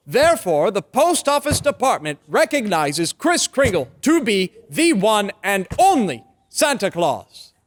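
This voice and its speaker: A persuasive young attorney played by a man in old-time radio style. The voice is high for a man and very animated, with emphatic, oratorical phrasing. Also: measured pace, few pauses. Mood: triumphant. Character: confident, persuasive young male defense lawyer.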